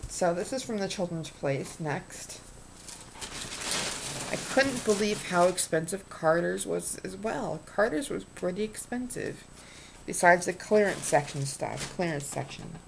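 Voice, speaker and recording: A woman's voice: on the low side, expressive, a little slow, gravelly, slight background noise, poor audio. A woman in her thirties chatting casually in a home vlog, rambling with ums and restarts as she talks at her webcam. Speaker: vlogger showing purchases. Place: small room indoors. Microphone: webcam at desk.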